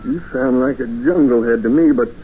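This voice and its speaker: An actor playing a rough, easygoing gold prospector with an American accent and a folksy, slangy manner, in 1950s radio drama style. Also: male voice, mid-range voice, normal speed, close to an everyday voice. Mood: offhand, wary. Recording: muffled, noisy old radio recording.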